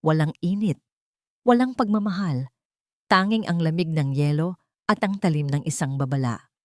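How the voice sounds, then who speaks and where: A woman narrating a dramatic love story in a measured, solemn way. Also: deep voice, no room sound, studio quality.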